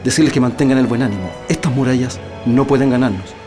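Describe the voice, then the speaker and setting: Actor as measured prisoner leader, music behind. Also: male voice, mid-range voice, close to an everyday voice. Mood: steady, reassuring. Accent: Chilean. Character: calm, methodical male leader of political prisoners plotting escape. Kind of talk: radio drama acting.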